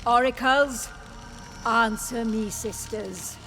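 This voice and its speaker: An anxious grand oracle, calls out in a fantasy game. Her high, slow voice rings with long reverb. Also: a bit bright, faint music behind. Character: anxious female grand oracle calling out to sisters. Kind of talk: video game cutscene acting. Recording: long echoing reverb, distant.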